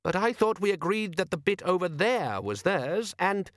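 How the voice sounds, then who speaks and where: A man acts out a young fisherman's son in a comic storybook, his voice lifted fairly high. He is reasonable but a little cheeky, questioning in a plaintive way. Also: big pitch swings, moderate pace, a bit bright, no room sound, clean studio sound.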